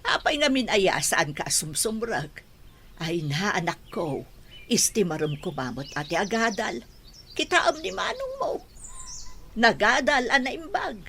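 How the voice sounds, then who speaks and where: Worried scolding mother, wide expressive pitch swings, Ilocano accent, noisy radio drama track with birdsong. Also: a little slow. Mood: reproachful, pleading. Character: worried, reproachful mother of a student.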